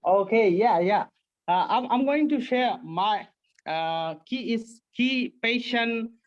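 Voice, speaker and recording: An Indian man with a fairly high voice talks earnestly over a video call, stopping and restarting as he searches for words. The call audio sounds a bit dull and lo-fi. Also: animated. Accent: Indian English. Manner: earnest, halting with many fillers and restarts. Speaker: guest, online English teacher. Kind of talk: casual video-call chat.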